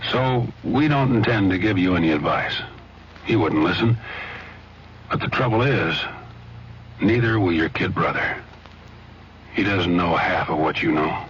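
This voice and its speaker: A man's voice: low voice, a bit bright, slight background noise. A male announcer delivering a public service announcement in an earnest, direct way. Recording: muffled old radio broadcast.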